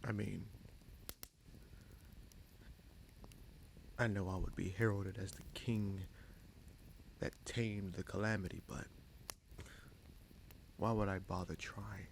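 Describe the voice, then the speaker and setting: Casting: male actor for a frank, wry bastard prince, fantasy audio roleplay. Lowish, creaky voice, musing delivery with long pauses, slight background noise. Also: fairly even intonation, rough recording. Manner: measured, musing, pausing often mid-sentence. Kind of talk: fantasy audio roleplay, slow intimate monologue.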